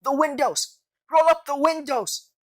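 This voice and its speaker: Woman as a high-voiced, earnest boy wizard. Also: a little slow. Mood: urgent, alarmed. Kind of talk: fan audio drama acting.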